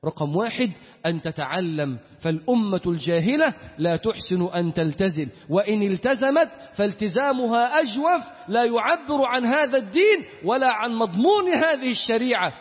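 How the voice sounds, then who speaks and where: A man's voice: very high voice, lively intonation, brisk, breathy edge. A man with an Egyptian Arabic accent preaching an Islamic sermon in an emphatic manner, repeating key phrases. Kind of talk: Friday sermon with recitation and supplication. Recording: echoey hall with long reverb, dull sound.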